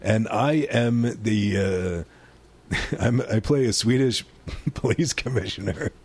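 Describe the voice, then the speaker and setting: A low-pitched man with a gravelly, husky voice chats casually on a comedy podcast. He rambles, restarts and swears freely while talking up his upcoming work. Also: slow pace, darkish tone. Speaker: comedian guest.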